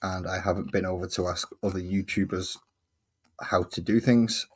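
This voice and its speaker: Husky low-voiced Englishman chatting. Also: fairly even intonation, moderate pace. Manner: chatty, self-deprecating, laughs easily. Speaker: hobby streamer painting miniatures. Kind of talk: casual chat while crafting.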